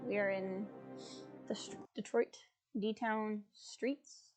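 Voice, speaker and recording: A woman streaming a video game, chatty and a little sniffly, thinking out loud with fillers as she plays. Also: mid-range voice, fairly even intonation, takes it slowly, faint music behind. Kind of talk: casual gameplay commentary. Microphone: desk mic with webcam.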